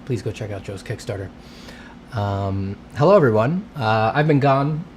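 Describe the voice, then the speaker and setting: A man's voice: on the low side, normal speed, a little hiss or hum. A congested man hosting a casual livestream, rambling and greeting his chat between coughs. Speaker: livestream host, artist. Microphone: streaming setup mic.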